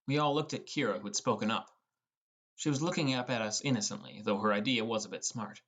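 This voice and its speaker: A man's voice: medium pitch, quick pace, fairly bright tone. A man reading scripted first-person narration as the narrator of an audiobook.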